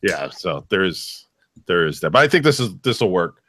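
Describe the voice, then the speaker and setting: A man with a bassy voice co-hosting a casual radio show, thinking aloud in a hesitant way during a sound check. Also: sing-song, normal speed, sharp tone. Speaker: radio show co-host and audio engineer.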